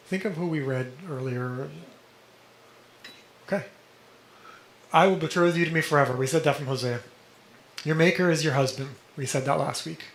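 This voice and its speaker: Slightly hoarse man teaching in an echoey room. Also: fairly high pitch, fairly bright tone. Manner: explanatory, quotes texts, checks in with "right?". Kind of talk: teaching a study group. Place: indoor room with a vent. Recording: echoey room with background noise.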